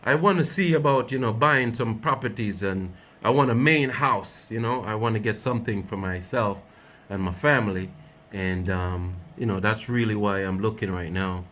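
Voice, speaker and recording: A man's voice: fairly low pitch, normal speed, a bit bright, voice pushed down. Man playing a laid-back Jamaican home buyer. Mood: easygoing.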